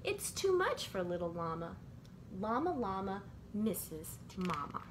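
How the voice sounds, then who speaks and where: Middle aged lady reading a rhyming kids book, really slow and singsongy, noisy homemade recording. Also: medium pitch, very animated. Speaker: school staff reading bedtime stories to children. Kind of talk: read-aloud of a rhyming children's picture book. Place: small indoor bedroom. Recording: clearly noisy amateur home recording.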